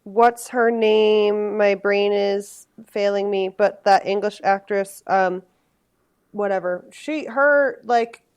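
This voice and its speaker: Podcast guest, woman from Massachusetts, self-mocking humor, mid pitch, conversational. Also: takes it slowly, darkish tone, clear, resonant tone. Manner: self-deprecating, jokey. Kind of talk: casual chat.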